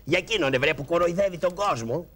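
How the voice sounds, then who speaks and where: A man playing a prim, bright-voiced sidekick. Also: on the high side. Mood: lecturing. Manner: prim, lecturing, giving instructions. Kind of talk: Greek shadow-puppet theatre.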